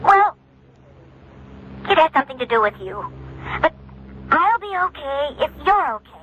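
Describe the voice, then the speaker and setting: A woman's voice: high-pitched, sing-song, moderate pace, fairly bright tone. An actress playing an anxious, devoted woman with an earnest, hesitant manner, in an old-time radio drama. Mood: anxious, pleading. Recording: tinny, noisy old radio recording.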